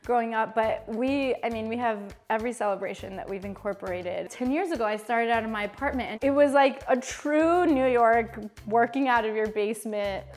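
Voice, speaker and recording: A woman's voice: fairly high pitch, fairly quick, darkish tone, soft background music. A woman from Mexico in her thirties, a bakery founder telling her story in casual interview chat, bubbly and laughing often.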